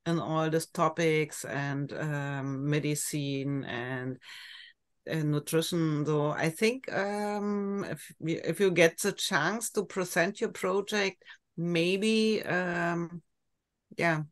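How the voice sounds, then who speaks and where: A woman's voice: low voice, steady tone. A middle-aged woman talking hesitantly in a casual video call discussion through a headset. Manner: hesitant, halting phrasing. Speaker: panel participant asking questions.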